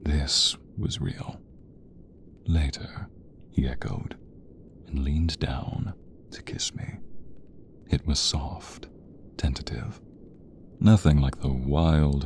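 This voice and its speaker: Very deep, breathy, sensual romance narrator played by a man. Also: darkish tone, full character voice. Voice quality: breathy, often sinking to a whisper. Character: smitten, sensual inner narrator of a romance novel. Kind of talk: slow, intimate ASMR romance storybook narration.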